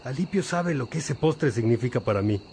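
A man playing a gentle, devout monastic father in a radio drama, speaking in a fraternal, reflective way. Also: medium pitch, animated, brisk, creaky, a little hiss or hum. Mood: fond.

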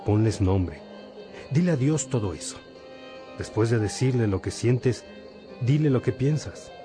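An actor playing a calm, fatherly bishop who instructs a novice in a measured way in a religious radio drama. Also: medium pitch, over music, close to an everyday voice. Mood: gentle, instructive.

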